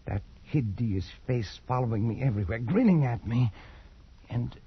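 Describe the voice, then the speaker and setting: An actor playing a haunted, prematurely aged Englishman in a post-war radio drama, with a British accent and a halting, pleading delivery. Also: mid-range voice, expressive, husky. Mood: haunted, insistent. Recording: muffled old radio recording.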